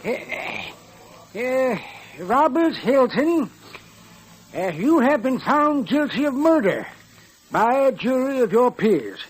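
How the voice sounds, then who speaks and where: An actor playing a callous, folksy Old West judge who hems and haws in an old radio western. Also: male voice, very high-pitched, sing-song, slow, exaggerated voice. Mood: offhand, solemn. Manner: hemming and hawing.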